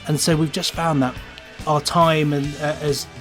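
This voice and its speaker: A man's voice: on the high side, flat delivery, unhurried, a bit bright, soft background music. A man talking casually as a podcast guest, in a chatty, rambling way. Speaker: podcast guest, sound designer. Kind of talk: casual conversational interview.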